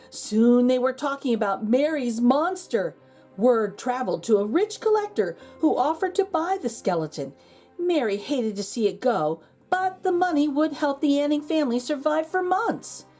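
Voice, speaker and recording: A woman reading a children's picture book aloud as a storyteller, expressive, with dramatic emphasis and pauses. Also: fairly high pitch. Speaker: read-aloud host and storyteller.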